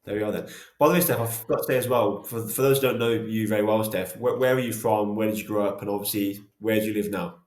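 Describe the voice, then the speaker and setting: A man's voice: fairly low pitch, steady tone, fast talker, few pauses. A man hosting a podcast interview, asking casual, rambling questions in a friendly way. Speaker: podcast host, co-founder. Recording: echoey room.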